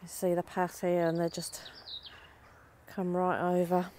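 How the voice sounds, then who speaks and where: A middle-aged woman chatting casually while she works her vegetable plot outdoors, her voice a little croaky. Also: lowish voice, monotone, a little slow, dark tone, slight background noise. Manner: chatty, down-to-earth, talks while working. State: slightly croaky. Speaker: allotment gardening vlogger. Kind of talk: casual chat to camera. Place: outdoors in allotment and back garden. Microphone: phone camera held while walking.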